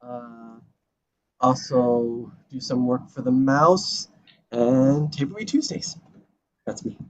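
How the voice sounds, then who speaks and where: A man's voice: mid-range voice, slow. A man hosting an online table read, chatting casually. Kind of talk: casual chat. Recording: dull lo-fi call audio, faint noise.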